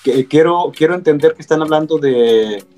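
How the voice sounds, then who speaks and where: A man's voice: a little high, normal speed, mellow, faint music behind. Hesitant man on a radio panel. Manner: hesitant, many fillers and repeats. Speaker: radio broadcaster guest. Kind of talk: casual panel chat.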